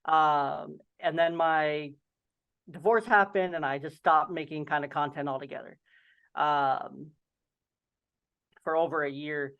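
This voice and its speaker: A young man talks in a loose, rambling way and leans on lots of fillers, with a slight lisp and the odd stutter. His voice sits a little high and comes through smooth and resonant. The video-call audio sounds dull and lo-fi. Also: fairly even intonation, measured pace, pauses often. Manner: rambling, filler-heavy storytelling. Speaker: podcast host and guest. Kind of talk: casual video-call interview.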